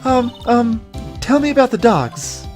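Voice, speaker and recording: A woman puts on a perky teenage girl in a game, pitched up and very animated. She sounds innocent and wide-eyed, forever asking curious questions. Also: unhurried, soft background music. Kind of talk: voiced visual novel game dialogue.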